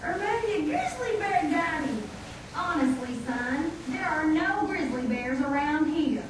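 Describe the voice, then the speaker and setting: A woman voicing a worried, gently scolding frontier mother in a scripted puppet show, with a measured manner. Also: sits high, animated. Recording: echoey room, far mic, noisy.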